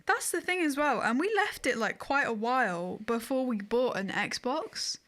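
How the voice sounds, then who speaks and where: A woman's voice: high-pitched, animated, a little slow, a bit bright. A woman co-hosting a video game podcast in an informal, conversational way. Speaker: podcast co-host. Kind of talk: casual podcast chat about video games.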